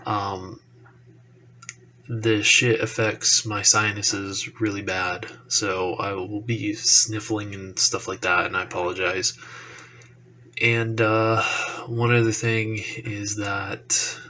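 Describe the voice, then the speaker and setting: A young man, stuffy from allergies, talks in a slow, loose ramble full of ums and cursing. His low voice is bright and edgy but barely rises or falls. A faint hum of room noise sits behind him. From Texas. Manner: relaxed rambling with frequent ums and profanity. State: congested from allergies. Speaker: action figure YouTuber. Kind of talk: casual solo podcast talk.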